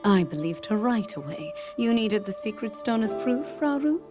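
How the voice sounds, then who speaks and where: A woman voicing a kindly, reassuring and gently teasing queen in a video game, with a soothing manner. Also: mid-range voice, sing-song, moderate pace, close to an everyday voice. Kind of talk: video game cutscene voice acting. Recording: music behind the voice.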